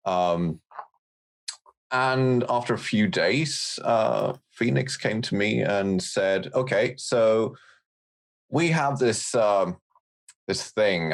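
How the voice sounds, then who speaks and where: A man with a non-American accent recounting things casually and haltingly as a podcast guest. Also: deep voice.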